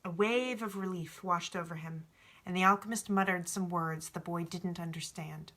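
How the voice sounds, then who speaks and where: A woman reads a storybook aloud. Her voice sits a bit low for a woman, with a bright, crisp edge. The delivery is informal, like a home read-aloud. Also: normal speed. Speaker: audiobook reader. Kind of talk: storybook narration with informal asides.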